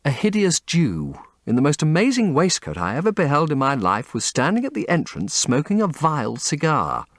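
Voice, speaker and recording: Audiobook role, male reader: impressionable young upper-class Englishman, earnest and florid, highly animated pitch. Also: normal speed, few pauses, dry recording, studio quality. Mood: ardent, excited. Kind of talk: audiobook character reading.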